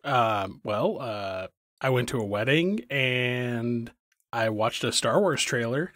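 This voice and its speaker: A man co-hosting a video game podcast, coy and deadpan in casual chat. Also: mid-range voice, slow, no echo, studio quality. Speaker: podcast co-host.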